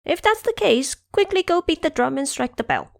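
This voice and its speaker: A woman's voice: high-pitched, sing-song, normal speed, gravelly, dry recording, clean studio sound, pitched above the performer's own voice, full character voice. A woman voicing a brash, cocky male monkey immortal who talks boldly and makes demands, in an audio drama. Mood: threatening. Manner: bold, demanding.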